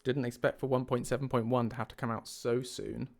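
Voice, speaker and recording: Creaky-voiced man thinking aloud on a livestream. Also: medium pitch, little pitch movement, fairly quick, darkish tone. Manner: thinking aloud, muttering to himself. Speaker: game mod developer and livestream host. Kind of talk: casual livestream talk while troubleshooting.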